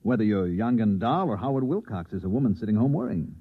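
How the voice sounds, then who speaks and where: An actor playing a calm newsman who patiently humors a stranger with hesitant, soothing questions, in old-time radio drama style. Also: on the low side, fast talker, breathy edge, no room sound, exaggerated voice. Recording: phone-like, narrow vintage radio recording.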